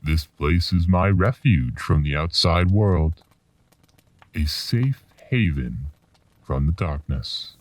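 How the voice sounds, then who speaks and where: A man acting a mysterious, ominous storyteller in a horror radio drama, speaking in a portentous, deliberate, taunting way. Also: sits low, animated, recorded from a distance, full character voice. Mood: ominous, grave. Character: mysterious, ominous male storyteller collecting dark legends. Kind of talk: slow theatrical horror radio drama narration.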